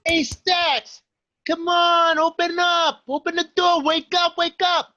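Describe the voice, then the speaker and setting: A man's voice: extremely high pitch, little pitch movement, takes it slowly, bright, forceful tone, no room sound, muffled recording, pitched above the performer's own voice, heavily acted. A man playing a hot-headed, threatening wiseguy gangster in a radio drama, foul-mouthed and aggressive. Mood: impatient, shouting. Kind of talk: crime radio drama acting and improvised banter.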